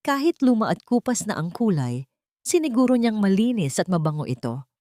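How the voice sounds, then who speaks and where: A woman's voice: mid-range voice, big pitch swings, normal speed, warm tone, dry recording, clean studio sound. A woman narrator reading a scripted romantic drama in a dramatic storytelling style.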